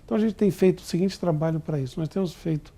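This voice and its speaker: A middle-aged man, a diplomat, answering questions in a formal television interview in a measured, deliberate way. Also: fairly high pitch, dark tone. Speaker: Brazilian diplomat in Tokyo.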